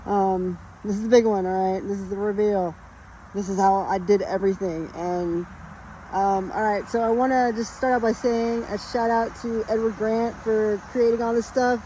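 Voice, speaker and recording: A woman's voice: medium pitch, fairly even intonation, moderate pace, dark tone, a little hiss or hum, rough recording. A woman in her late thirties explaining spiritual ideas in a casual, rambling monologue, emphatic and full of fillers. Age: late 30s. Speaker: spiritual vlogger.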